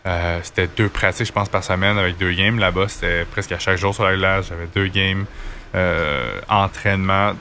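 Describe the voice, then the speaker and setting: Male interview guest, Quebec accent, lowish and bright, colloquial storyteller, slight background noise. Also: fairly even intonation, moderate pace. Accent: Quebec French. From Quebec, Canada. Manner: informal, colloquial. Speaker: interview guest, hockey goaltender. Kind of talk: casual conversational storytelling.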